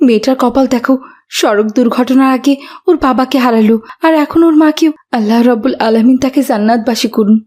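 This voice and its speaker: A woman's voice: on the high side, fairly quick, a little mellow, lightly acted. Woman acting pious, caring cartoon mother. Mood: sorrowful, tender. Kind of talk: Bengali moral cartoon voice acting.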